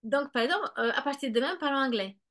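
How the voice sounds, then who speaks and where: A woman's voice: sits high, lively intonation, quick pace, fairly bright tone. Hesitant woman explaining, with many fillers. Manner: acts out children's and parents' words. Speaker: guest expert on bilingual children. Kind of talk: explanatory conversation.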